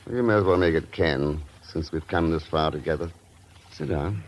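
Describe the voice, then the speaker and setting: A man's voice: extremely low pitch, moderate pace, slight background noise. An actor playing a cool, wry, suave male secret agent in a vintage radio drama, dry and unruffled in manner. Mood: wry, flirtatious. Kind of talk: 1940s-50s American radio acting. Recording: dull vintage radio recording.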